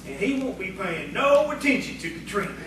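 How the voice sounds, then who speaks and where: A rustic prankster sidekick acted by a man in audio theatre, with a high voice and folksy country phrasing. He eggs on his boss. The sound is heavily reverberant, noisy and far from the mic. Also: big pitch swings, a little fast. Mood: conspiring. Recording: echoey, distant, noisy stage recording.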